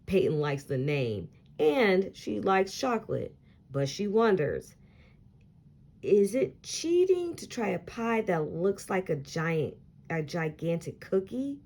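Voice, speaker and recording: A woman reading a children's story aloud in an expressive, deliberate storytelling style. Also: fairly low pitch. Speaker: picture book read-aloud reader.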